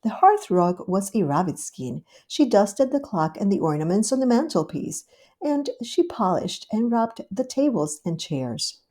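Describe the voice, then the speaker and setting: A woman reading a children's storybook aloud in a gentle, expressive way. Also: mid-range voice, a little slow. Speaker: storybook reader. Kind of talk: scripted narration of a children's story.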